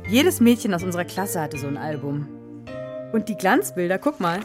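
A woman playing a romantic, nostalgic mother in a children's radio play, with a husky voice and a colloquial, exclaiming delivery. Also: fairly low pitch, very animated, moderate pace, music in the background. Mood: excited, gushing.

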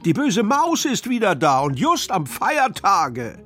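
An outraged family father acted out by a man exclaims melodramatically in a storybook poem. His voice leaps far up in pitch as he laments a pesky thief. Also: huge pitch swings, slow pace, sharp tone, faint music behind, full character voice. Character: outraged father and boys lamenting a thieving mouse. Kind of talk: dramatic storybook poem reading.

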